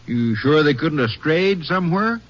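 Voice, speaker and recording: Man playing an affable, higher-voiced country sheriff. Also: lively intonation, natural voice. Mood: friendly, obliging. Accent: American rural Western. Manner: folksy, unhurried, obliging. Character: affable, easygoing small-town Texas sheriff. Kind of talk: 1950s radio western acting. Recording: muffled, hissy 1950s radio recording.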